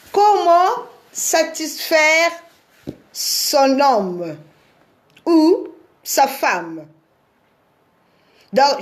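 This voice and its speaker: A woman counselor speaks in a high voice on a live stream, her throat sore and her nose stuffy from a cold. She talks slowly and deliberately, repeating words for weight as she gives relationship advice. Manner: slow and emphatic, repeats words for effect. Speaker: marriage counselor.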